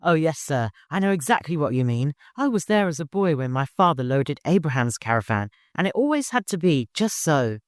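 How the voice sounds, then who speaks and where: A man's voice: on the high side, sing-song, brisk, few pauses, no echo, studio quality. A man voicing a chatty, proud camel keeper in an audiobook, deferential, long-winded and eager to please.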